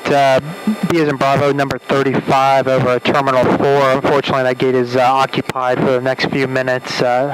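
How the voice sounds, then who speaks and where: A man, an airline pilot, making a routine, halting cabin announcement with frequent uhs over the aircraft PA. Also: mid-range voice. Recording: aircraft PA with faint noise.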